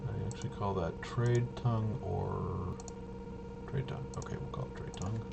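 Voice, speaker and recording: A man hosting a tabletop game walkthrough, thinking aloud in a casual, halting way and muttering to himself. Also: lowish voice, steady tone, over loud music. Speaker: host of a tabletop RPG video. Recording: noisy room, clearly audible background noise.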